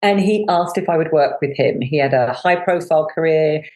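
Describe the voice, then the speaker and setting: A woman's voice: sits low, normal speed. A middle-aged woman, a business coach, telling her story as an interview guest with lots of fillers. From United Kingdom. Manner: animated storytelling with many fillers. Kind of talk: conversational podcast interview.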